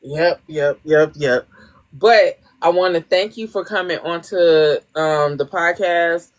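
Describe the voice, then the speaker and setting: A woman's voice: fairly low pitch, slow. A woman hosting a casual podcast, blunt and playful in her reactions. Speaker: podcast host.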